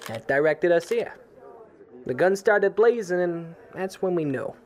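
A man playing a frustrated, obsessive police detective in an audio drama, halting and agitated, with a short fuse. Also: high voice, animated, darkish tone. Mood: shaken, defensive.

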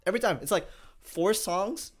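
A man's voice: sits high, moderate pace, slight background noise. A young man co-hosting a casual podcast chat, telling stories and laughing often. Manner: laughs often, animated storytelling. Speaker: podcast co-host.

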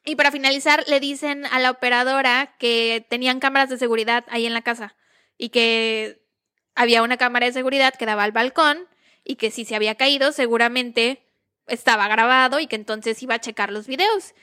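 A young Mexican woman recounting a true-crime case in a relaxed, slangy podcast chat. Her voice sits a little high and sounds crisp and bright. She laughs easily between sentences. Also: a little fast. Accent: Mexican Spanish. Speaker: podcast co-host. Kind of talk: casual true-crime storytelling.

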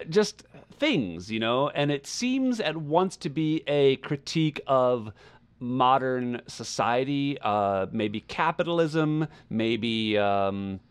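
A man with a middling pitch talks casually as a podcast reviewer. He gropes for the right words, repeating himself and circling back as he shapes his opinion. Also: clear, resonant tone. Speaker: podcast co-host reviewing music. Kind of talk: casual conversational album review.

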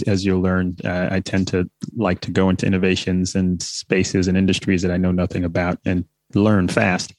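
Low-voiced man explaining steadily. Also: dry recording. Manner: measured, explanatory, with light fillers. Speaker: company founder, guest. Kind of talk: interview answer, explaining.

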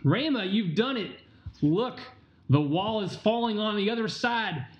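A man playing an excited ancient tunnel miner in an amateur audio drama, urging his partner on in a declamatory way. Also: high voice, measured pace. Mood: excited, urgent. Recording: dull, roomy home recording.

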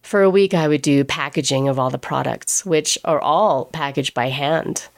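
Low-voiced woman telling her own story. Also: moderate pace, vocal fry. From England. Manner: chatty, laughs often, quotes others in dialogue. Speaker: pastry chef. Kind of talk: personal storytelling monologue.

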